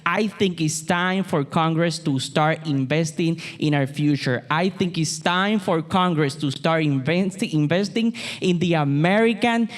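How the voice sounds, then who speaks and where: A man's voice: on the high side, unhurried. Man with a Puerto Rican accent, campaigning. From Puerto Rico. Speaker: political candidate. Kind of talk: campaign speech.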